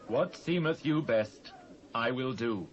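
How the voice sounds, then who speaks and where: A man's voice: mid-range voice, slow, close to an everyday voice. A British man playing a grave, tender king in a dramatised scripture reading in archaic English. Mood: resolved, yielding. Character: grave, tender aging king addressing his people. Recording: dull lo-fi cassette transfer.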